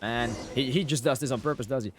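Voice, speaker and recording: A man's voice: mid-range voice, fast, a bit bright, a little hiss or hum. A man giving casual, playful live gameplay commentary as a streamer, often repeating his phrases. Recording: game music behind the voice. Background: video game music.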